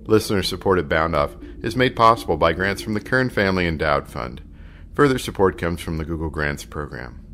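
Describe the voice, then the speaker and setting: A man's voice: low-pitched, lively intonation, a little fast, fairly bright tone, slight background noise. A man hosting a podcast, reading scripted closing announcements. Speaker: podcast host.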